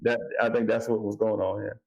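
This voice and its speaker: A man giving his take as a panelist in casual, slangy, halting talk full of filler phrases. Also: on the low side, level tone, creaky, lo-fi sound. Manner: halting, peppered with "man" and "you know". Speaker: music review panelist.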